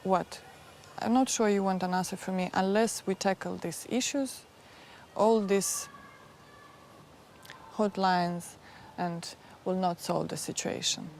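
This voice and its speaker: A woman who heads an NGO, speaking in a documentary interview in a deliberate, serious way. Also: mid-range voice, darkish tone, a little hiss or hum. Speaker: NGO head.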